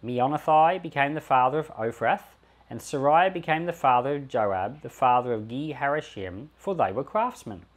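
A man reads scripture aloud in a mid-pitched voice with lively rises and falls. His delivery is measured and deliberate, setting out each phrase and name separately, as a pastor would on a podcast.

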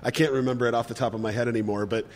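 Male guest, relaxed rambling storyteller, lots of fillers and laughter, reverberant recording. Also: medium pitch, racing, a little hiss or hum. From Minneapolis area. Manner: rambling, laughs often, many fillers and restarts. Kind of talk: casual chat.

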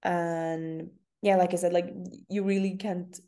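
A young woman from northern Norway talks in English with a Norwegian accent, a relaxed interview guest who laughs easily. Her voice sits low and sounds warm and mellow. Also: a little slow. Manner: candid, laughs often. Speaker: CrossFit athlete guest. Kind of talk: casual interview.

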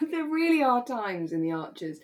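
A woman's voice: on the high side, very animated, unhurried. A woman co-hosting a podcast, chatting casually and expressively as she reviews a drama. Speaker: podcast co-host.

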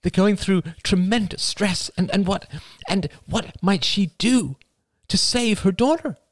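A man's voice: high voice, a little slow, no room sound, pitched above the performer's own voice. A man voicing an anxious middle-aged mother who pleads emotionally in an audiobook. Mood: worried. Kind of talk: audiobook character voice.

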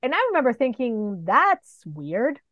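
A woman's voice: a little high, huge pitch swings, a little slow, fairly bright tone, dry recording. Woman chatting, dry muffled lo-fi sound. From New York City and the Dominican Republic. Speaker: podcast guest, professional wrestler. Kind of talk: casual interview chat.

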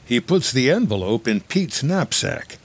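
A man with a husky voice reading scripted, present-tense screenplay narration as a storyteller. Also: mid-range voice, sing-song, unhurried.

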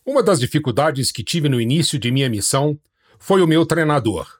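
A man with a fairly high, husky voice and a lively pitch. He speaks earnestly and frankly about his time as a missionary guest. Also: fairly quick. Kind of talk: personal storytelling.

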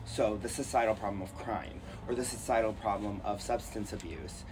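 A man's voice: lowish voice, steady tone, moderate pace, a little mellow, a little hiss or hum. A man co-hosting a podcast in casual chat, rambling and jokey with lots of fillers. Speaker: podcast co-host.

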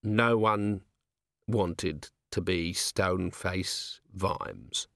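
A man's voice: mid-range voice, lively intonation, a little slow, a bit bright, no room sound. A man doing a weary, stern watch commander. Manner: dry, tired, clipped. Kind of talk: audiobook character voice.

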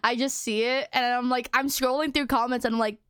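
A young woman hosts a relaxed chat, talking in loose, rambling runs full of fillers and quick giggles. Her voice sits high and comes across smooth and clear. The sound is dry and close. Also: dry recording. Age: young adult. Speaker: host. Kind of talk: casual chat.

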